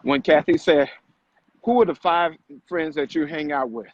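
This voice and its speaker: A man with a slightly high voice gives a motivational talk on a live stream. He is emphatic and repeats himself, often posing rhetorical questions. The sound is a bit muffled, with some background noise. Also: moderate pace. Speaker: vlog co-host and fitness motivator.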